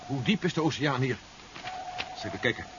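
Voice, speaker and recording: Actor as wary submariner, animated, dull noisy recording. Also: male voice, medium pitch, brisk, natural voice. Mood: wary, amazed. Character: wary, questioning male submarine passenger, astonished by the vessel. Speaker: actor in a radio drama. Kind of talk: 1970s radio drama acting. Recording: muffled, noisy old 1970s radio recording.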